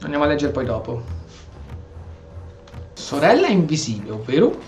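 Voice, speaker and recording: Animated Italian man doing game commentary, echoey. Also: fairly high pitch, a little fast, soft background music. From Italy. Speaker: gameplay streamer. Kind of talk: casual role-play game commentary in Italian with stray English. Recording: echoey room, faint background noise.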